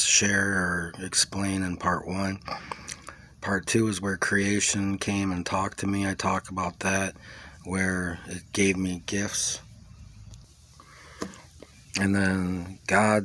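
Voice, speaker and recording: Low, flat-voiced man rambling. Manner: halting, searching for words. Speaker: video creator recounting own experience. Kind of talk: rambling first-person monologue.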